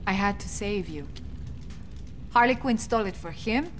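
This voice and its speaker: A woman playing a poised, determined female assassin in a video game, with a measured, dramatic delivery. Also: medium pitch, lively intonation, a little hiss or hum, close to an everyday voice. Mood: resolute.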